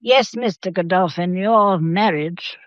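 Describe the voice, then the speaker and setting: An imperious elderly matriarch played by a woman in a period radio drama. She speaks in a measured, formal way, weary yet shrewd and coolly composed. The sound is dry and a little dull. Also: lowish voice, no echo, lightly acted. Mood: weary, commanding. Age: older.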